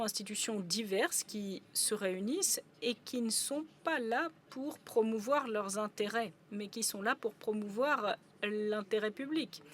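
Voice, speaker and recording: A woman doing simultaneous interpretation at a conference, a steady relay full of brief hesitations. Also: medium pitch, a little hiss or hum.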